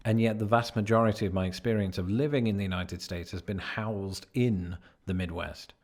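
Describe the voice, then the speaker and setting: A man's voice: fairly low pitch, fairly even intonation, fairly quick, darkish tone. A man from Britain living in the American Midwest, narrating his vlog with dry, sardonic humor. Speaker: vlogger in the original video.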